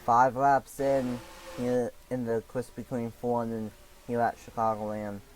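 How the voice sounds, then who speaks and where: A young man commentates a sim race lap by lap, his voice a little raspy from allergies. He talks in halting bursts full of fillers and restarts, over a faint hum of ambience. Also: mid-range voice, level tone, rough recording. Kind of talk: play-by-play sim racing commentary.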